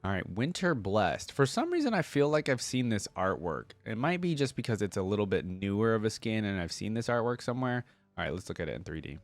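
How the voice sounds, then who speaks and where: A man's voice: on the low side, no room sound. A man giving casual reaction commentary as a video host, thinking aloud in informal, slangy language.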